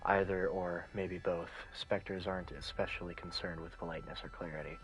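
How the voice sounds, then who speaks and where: A young man with a deep voice, a streamer reading game text aloud in hushed narration. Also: fairly even intonation, moderate pace, slight background noise, low-quality recording.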